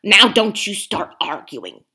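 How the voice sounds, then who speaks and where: A woman's voice: medium pitch, big pitch swings, slow, pushed and bright, breathy edge, exaggerated voice. A woman reading aloud, voicing a scheming, domineering male ape who snarls and hisses at the other animals.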